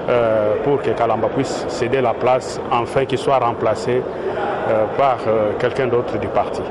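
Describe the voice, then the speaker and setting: Hesitant man over loud street traffic. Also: medium pitch. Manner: measured, with frequent hesitations. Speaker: party official interviewed. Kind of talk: street interview. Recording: loud traffic noise outdoors.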